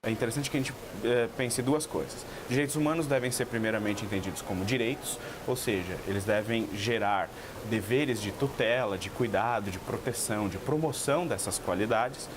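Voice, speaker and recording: A man's voice: on the low side, a little fast, background noise. A man giving an academic explanation in an interview, lecturing with hesitant fillers. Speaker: expert interviewee.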